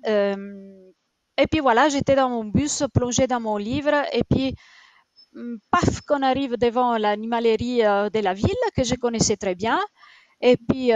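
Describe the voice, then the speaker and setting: Woman, italian accent, forties, fairly high voice, dry close sound, hesitant with many fillers. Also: a little slow, a little mellow, no echo, highs cut off. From Italy. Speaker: rabbit shelter founder, guest. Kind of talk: interview answers.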